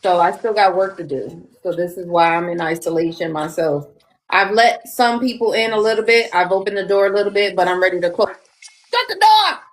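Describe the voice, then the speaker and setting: A woman in her forties hosting a podcast, talking candidly and laughing easily, slowing down to make her points. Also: mid-range voice, lively intonation, rough recording. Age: 40s. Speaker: podcast host. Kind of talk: casual chat.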